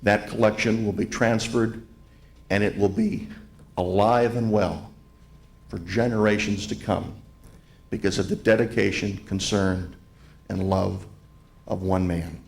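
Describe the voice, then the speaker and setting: A man delivering a ceremonial tribute to a live audience, speaking in deliberate, emphatic phrases with repetition. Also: on the low side, fairly even intonation. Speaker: event speaker, museum president giving opening remarks. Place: hotel grand ballroom event.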